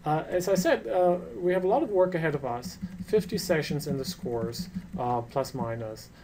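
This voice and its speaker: German professor giving an online lecture. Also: male voice, on the high side, normal speed, background noise. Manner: earnest, with frequent fillers and restarts.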